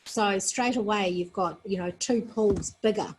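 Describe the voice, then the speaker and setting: A woman from New Zealand, a sports federation president, talking as an interview guest in a measured, earnest way, tired after being up all night. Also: mid-range voice, slight background noise, rough recording.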